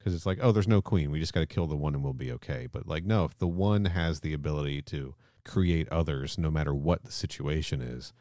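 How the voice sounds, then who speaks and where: A man's voice: rumbling low, brisk, few pauses, dark tone, no echo, studio quality. A man co-hosting a podcast, talking casually and emphatically, with some swearing. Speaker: podcast co-host. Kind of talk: casual discussion and film plot recap.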